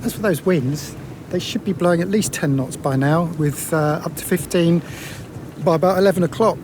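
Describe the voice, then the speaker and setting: Laid-back man with lightish voice, noisy boat. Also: normal speed. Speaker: sailing vlog host. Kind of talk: casual explanatory vlog commentary. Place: outdoors on a sailboat. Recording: noisy outdoor boat recording, engine rumble.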